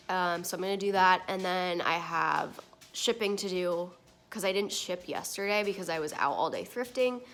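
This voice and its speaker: A young woman with a naturally quiet, soft voice, chatting casually and rambling with lots of ums while she does the dishes at home, talking into a phone propped on the windowsill. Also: mid-range voice. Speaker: vlogger and online reseller. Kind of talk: casual vlog chat while doing dishes. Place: home kitchen at the sink, water running.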